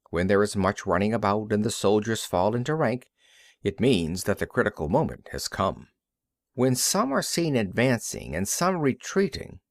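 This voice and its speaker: A man reading an audiobook as scripted narration, in a measured and deliberate way. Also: medium pitch, dry recording, clean studio sound. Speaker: audiobook reader.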